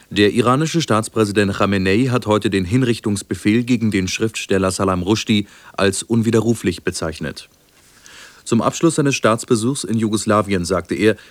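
Low-voiced German radio newsreader, man. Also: no echo. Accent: standard German of Germany. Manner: measured, formal, matter-of-fact. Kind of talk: formal scripted news bulletin.

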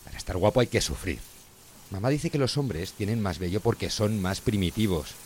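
A man's voice: on the low side, normal speed, a little mellow, a little hiss or hum, natural voice. A man playing a prim, caring househusband father in audio fiction, fussing and admonishing earnestly. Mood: fussy, advising.

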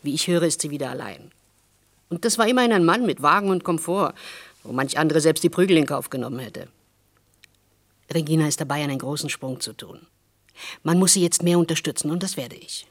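An actress playing a resolute, caring wife and protective neighbour in a German radio play, firm and matter-of-fact. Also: female voice, deep voice, brisk. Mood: earnest, caring.

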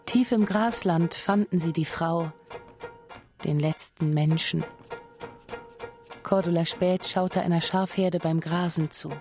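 A woman narrating a radio drama in a measured, literary manner. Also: on the low side, steady tone, over music.

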